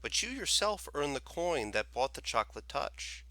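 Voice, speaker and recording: A man voicing a calm, stern old storekeeper in a storybook, speaking in an unhurried, admonishing way. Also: mid-range voice, dry recording, voice pushed up. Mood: stern, measured. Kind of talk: storybook character voice.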